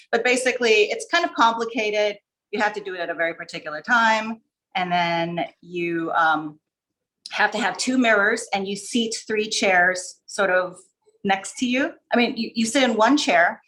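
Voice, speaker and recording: A woman's voice: medium pitch, a bit bright, poor audio. Female writer guest, mid-range voice, informal and rambling, explaining on a group call. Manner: informal, rambling with many asides. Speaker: short story writer, podcast guest. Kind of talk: casual chat, explaining. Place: online video call.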